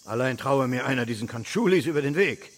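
An actor playing a choleric, pompous British colonial colonel in a German radio play, blustering and indignant with a husky voice. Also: male voice, medium pitch, animated, quick pace, natural voice. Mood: grumbling, scornful.

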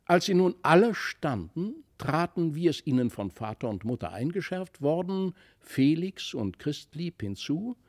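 A man narrating a literary fairy tale from a script, with expressive, deliberate storytelling. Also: a little high. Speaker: audiobook narrator.

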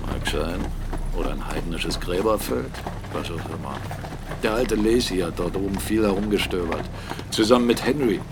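An actor playing a blunt, argumentative captain and mill owner in a German radio drama, speaking emphatically. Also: male voice, deep voice, moderate pace, noisy background. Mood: matter-of-fact, grim. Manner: argumentative, emphatic, rhetorical questions. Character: blunt, defiant male mill owner and captain, critical of church.

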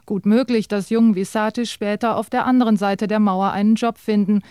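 Mellow-voiced female radio narrator. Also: medium pitch, a little fast, clear, resonant tone, no room sound, clean studio sound. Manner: even, composed reading. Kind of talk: scripted radio feature narration.

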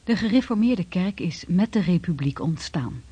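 Narrator, formal and measured, mellow husky tone, dull dry recording. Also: medium pitch, animated, no room sound. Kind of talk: scripted documentary narration.

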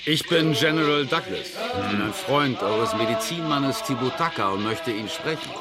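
An actor playing a courteous, self-important general in a German western radio drama, speaking in a measured, ceremonious way. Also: male voice, medium pitch, animated, loud music behind the voice, close to an everyday voice.

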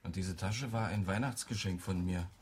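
A man's voice: low voice, moderate pace, natural voice. An actor playing an indignant, suspicious husband in a radio play, accusatory and melodramatic in his phrasing. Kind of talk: German radio play acting.

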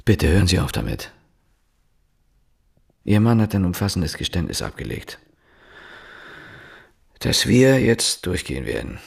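An actor playing an insistent, probing police inspector, questioning deliberately in a naturalistic radio drama. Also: male voice, low voice, sing-song, gravelly, husky, exaggerated voice. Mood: stern, probing.

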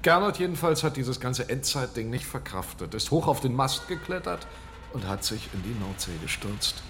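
A man's voice: medium pitch, very animated, moderate pace, fairly bright tone, soft background music. An actor playing a sardonic, unhinged oceanologist in a radio horror drama, mock-polite and menacing as he taunts people. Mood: musing, sardonic. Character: sardonic, unhinged male oceanologist holding strangers at gunpoint. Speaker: stranded researcher and captor.

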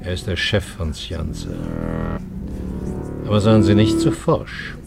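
An actor playing a sly older professor who gives a young man advice in a slow, gravelly drawl. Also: low voice, heavily acted. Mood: sly, advising. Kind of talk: radio drama acting. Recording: music playing behind.

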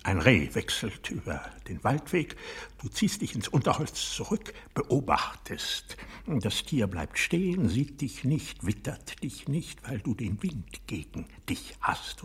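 Husky, brooding old aristocrat. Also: male voice, on the low side, fairly bright tone, heavily acted. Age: older. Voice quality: husky, breathy, often dropping to a whisper. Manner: deliberate, halting, intense, accusing. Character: bitter, proud old aristocratic man, former hunter, brooding over betrayal. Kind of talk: radio drama monologue acting.

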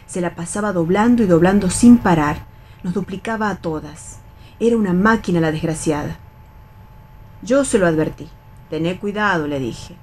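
A middle-aged Argentine actress playing a bossy, resigned, wary factory worker of nearly sixty in a radio theatre reading, instructing and admonishing colloquially. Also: female voice, fairly low pitch, a little mellow, slight background noise, natural voice.

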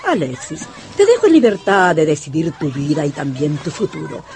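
A woman's voice: low voice, huge pitch swings, normal speed, darkish tone, slight background noise, full character voice. An actress playing a stern, imperious old grandmother in a radio drama, firm and commanding. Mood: stern, resolute. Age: older.

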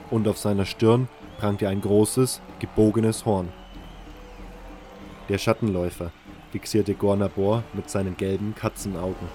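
A man's voice: lowish voice, dark tone. A man reading scripted first-person fantasy narration as the narrator of an audio drama. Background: music.

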